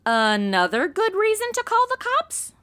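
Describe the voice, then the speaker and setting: Brash, high-pitched ditzy young woman. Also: huge pitch swings, measured pace, pushed and bright, exaggerated voice. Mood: sassy, clueless. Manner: blunt, slangy, valley-girl phrasing. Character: brash, ditzy modern young woman stranded in 1940s mysteries. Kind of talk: comedic audio drama acting.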